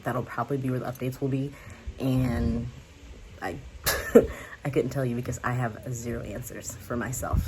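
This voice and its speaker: Low-voiced young woman vlogging candidly. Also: noisy background. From United States. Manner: candid, rambling, laughs often. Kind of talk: casual talk to camera.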